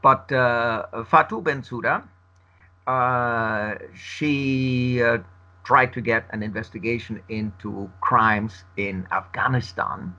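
A man whose first language is Spanish gives a long interview answer, arguing in a measured, emphatic way. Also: medium pitch, pushed and bright. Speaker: interview guest. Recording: dull lo-fi recording.

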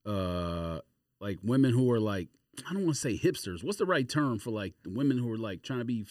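A man's voice: on the low side, very animated, a little fast, a little mellow, no room sound, clean studio sound. A man co-hosting a podcast in casual chat, rambling as he searches for the right words. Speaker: sneaker podcast host.

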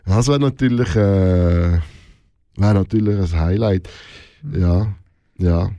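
A middle-aged man with a Swiss German accent, talking casually and reflectively in an interview, a little halting and informal. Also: sits low, mellow, no echo, clean studio sound. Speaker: radio show host being interviewed.

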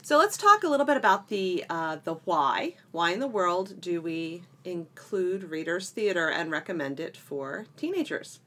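A woman's voice: on the low side, lively intonation, normal speed, few pauses, a bit bright. A middle-aged woman co-hosting a podcast in a casual, chatty style with a playful, bantering manner. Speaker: podcast co-host.

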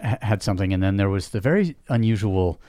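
A man's voice: fairly low pitch, expressive, warm tone, dry recording, studio quality. Stammering, laughing male podcast host. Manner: halting, stammers and restarts, laughs a lot. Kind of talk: casual conversational interview.

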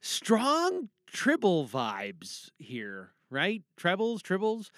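A woman's voice: medium pitch, wildly swooping pitch, very slow, a bit bright, dry recording, studio quality. A woman chatting casually and playfully to listeners as she introduces her own monologue performance. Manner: playful, jokey.

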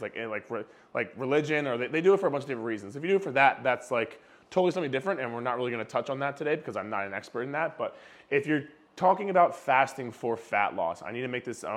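Slightly hoarse young man chatting to camera. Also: medium pitch, fairly bright tone. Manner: chatty, filler-heavy, repeats words when restarting. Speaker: fitness video creator. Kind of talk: casual talking-to-camera explainer.

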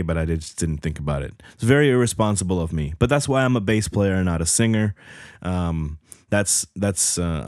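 A man hosting his own podcast, talking casually with a hoarse voice, rambling with lots of fillers and self-corrections. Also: low-pitched, darkish tone, no echo, studio quality. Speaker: podcast host. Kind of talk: casual solo podcast intro.

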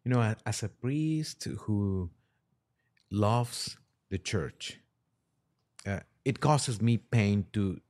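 A man speaking as a priest and podcast guest, halting as he discusses religion and poses questions. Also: fairly low pitch, lively intonation, darkish tone.

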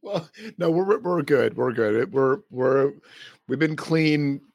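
A man's voice: medium pitch, takes it slowly, gravelly, darkish tone. A man co-hosting a podcast in casual chat, laughing often and trailing off into restarts. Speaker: podcast co-host.

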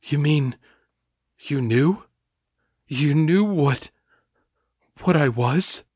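A man's voice: a little high, very slow, a little mellow, slightly rough, no echo, clean studio sound, voice pushed up, full character voice. A man doing a whimpering young man. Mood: stunned. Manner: whimpering, shaky. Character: frightened remorseful young man.

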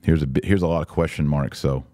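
A man with a low-pitched voice hosts a live podcast, captured close and dry with very little room sound. He moves between reading listener comments and commenting on them in an informal, emphatic way. His delivery is plainly opinionated. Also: steady tone, fast talker, mellow, no room sound. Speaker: podcast host.

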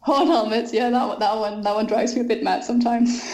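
A woman's voice: fairly high pitch, normal speed, dark tone. A young woman, an author answering interview questions thoughtfully and enthusiastically, with frequent ums.

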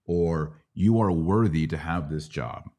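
A middle-aged American man hosting a religious Q&A, explaining things casually like a teacher and often checking in with a quick "right?". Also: extremely low pitch, mellow. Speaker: podcast host answering Bible questions.

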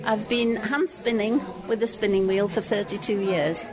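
A woman's voice: medium pitch, steady tone, moderate pace, rough recording. A woman, a knitter and spinner, answering questions plainly at an outdoor festival. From Leeds, England. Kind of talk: casual interview chat. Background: crowd chatter.

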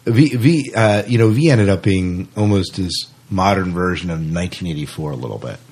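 A man hosting a casual podcast chat, agreeable and quick to affirm his guest. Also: deep voice, expressive, darkish tone, slightly rough. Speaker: podcast host.

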